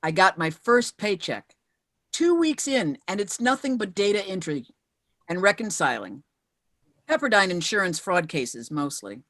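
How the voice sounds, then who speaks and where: A woman's voice: medium pitch, very animated, measured pace, fairly bright tone, no echo, studio quality. An actress reading the part of a determined widow in her fifties at a screenplay table read. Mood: frustrated, worried.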